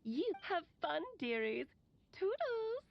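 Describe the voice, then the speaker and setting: A woman's voice: very high-pitched, very animated, slow, vocal fry, no room sound, lo-fi sound, full character voice. A woman voicing a playful, breezy witch in a video game, speaking a recorded message in a whimsical, affectionate, sing-song way.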